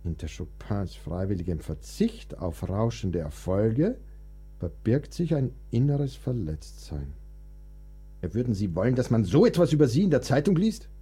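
An actor playing a touchy, indignant, melancholic composer in a historical radio play, theatrical and aggrieved. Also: male voice, on the low side, sing-song, moderate pace, warm tone, husky, faint music behind, full character voice. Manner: theatrical, aggrieved, swinging between outbursts and sulky asides. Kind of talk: radio play dialogue, dramatic monologue.

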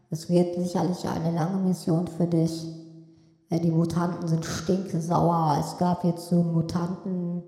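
A woman's voice: fairly low pitch, mellow. A woman playing a magic mirror from another dimension in an amateur audio drama, rambling and hesitant, with heavy reverb on the voice. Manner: hesitant, rambling delivery between solemn pronouncements. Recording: echoey long reverb, music behind.

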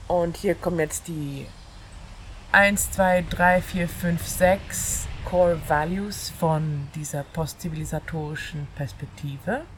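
A woman's voice: deep voice, steady tone, slow, a little hiss or hum. A woman presenting texts casually and informally, hesitating and mixing English terms into her speech. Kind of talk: casual spoken text recommendation.

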